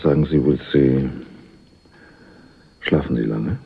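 An actor playing a smooth, wry go-between in a radio crime drama, speaking in a casual, teasing, sardonic way. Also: male voice, very deep voice, takes it slowly, heavily acted. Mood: teasing, probing. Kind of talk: 1980s German radio crime drama acting. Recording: dull, band-limited radio play recording.

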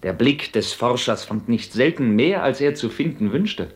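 An actor playing a proud, impassioned young Knight Templar, brusque but honourable, in fervent, formal 1950s radio-play declamation. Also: male voice, mid-range voice, animated, moderate pace, lightly acted. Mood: guarded.

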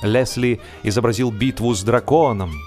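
A man reading a humorous story as an expressive, scripted narration, in a measured, theatrical storytelling manner. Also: mid-range voice. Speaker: audiobook narrator. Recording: music behind the voice. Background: music.

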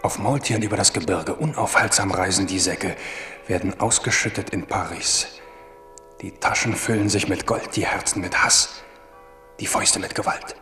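A man's voice: low-pitched, normal speed, pushed and bright. An actor playing an embittered, defiant king in a declamatory radio play monologue. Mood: bitter, resolute. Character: embittered young king of Navarre, reflective and defiant. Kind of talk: 1970s radio play acting. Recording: reverberant hall with music behind.

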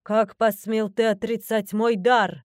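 A woman's voice: medium pitch, level tone, unhurried, clear, resonant tone, dry recording, studio quality, close to an everyday voice. A woman voicing an imperious, easily irritated mother goddess in a fantasy audiobook, speaking in a grand, stern, declamatory way. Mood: wrathful, accusing. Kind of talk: fantasy audiobook character acting.

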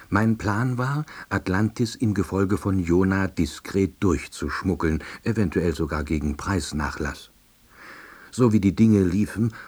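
Deep, husky, deadpan salesman narrator. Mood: matter-of-fact. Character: wry, hapless middle-aged salesman telling his story. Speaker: narrator and lead of an audio drama. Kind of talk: scripted first-person narration.

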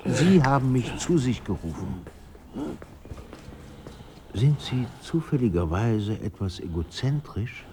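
An actor playing a restless literary man in a radio drama, polite and measured while he argues. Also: mid-range voice, expressive, warm tone, slight background noise. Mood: contrary.

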